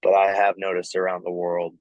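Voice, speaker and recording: Chill low-voiced band guy talking casually, dry close sound. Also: completely flat delivery, brisk, dry recording, lo-fi sound. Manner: laid-back, casual, lots of "like" and "you know". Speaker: band member guest. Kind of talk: casual chat.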